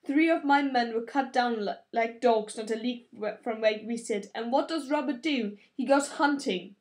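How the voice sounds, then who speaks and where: A woman's voice: on the high side, normal speed, lightly acted. Woman reading a weary, grave noble father. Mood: frustrated. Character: weary, stern but gentle noble father.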